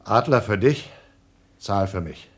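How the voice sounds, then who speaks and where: A man's voice: on the low side, animated, measured pace, breathy edge, heavily acted. An actor playing a wary, stubborn crewman on a space station in a German radio play, argumentative and theatrical. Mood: wary, grudging.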